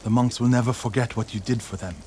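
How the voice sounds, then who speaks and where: A medium-pitched warrior monk played by a man speaks with grave, earnest devotion and a stubborn streak. The acting is dramatic video game work, with faint rain noise behind it. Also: fairly even intonation, fast talker, a little mellow, lightly acted. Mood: resolved.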